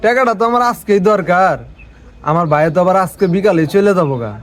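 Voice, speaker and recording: A man's voice: sits high, expressive, fast talker. A man acting a devoted, earnest village elder brother in a drama, with a rural Bangladeshi accent. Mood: humble, pleading. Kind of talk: naturalistic Bengali TV drama acting. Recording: music behind the dialogue.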